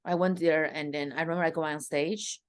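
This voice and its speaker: Low-voiced woman with a Chinese accent. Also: brisk, no echo, studio quality. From China. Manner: animated storyteller with many fillers. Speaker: stand-up comedian guest. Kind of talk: interview storytelling.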